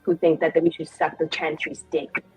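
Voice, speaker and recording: A woman's voice: low-pitched, completely flat delivery, moderate pace, slightly rough, faint music behind, pitched below the performer's own voice, rough recording. A woman playing a confident, spiteful female elven mage in a tabletop game, brash and foul-mouthed in manner. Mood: spiteful, vehement.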